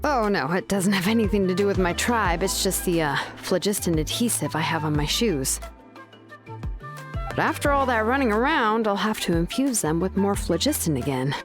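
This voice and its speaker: A woman voicing a laid-back, matter-of-fact young smith in a video game, speaking in a measured, casually confident, explanatory way. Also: mid-range voice, animated, music in the background, close to an everyday voice. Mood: focused.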